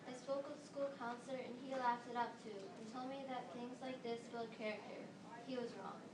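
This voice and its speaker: A woman's voice: on the high side, fairly even intonation, brisk, a crowd in the background. A young teenage girl reciting scripted readers theater lines as a student performer. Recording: very noisy echoey room, distant mic.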